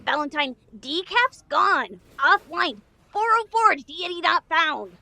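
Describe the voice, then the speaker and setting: Woman voicing a high-pitched, wisecracking brash pixie. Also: expressive, slow pace, gravelly, pushed and bright, exaggerated voice. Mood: urgent. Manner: snappy, wisecracking. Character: brash, hurried female tech pixie with magic. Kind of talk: audio drama acting.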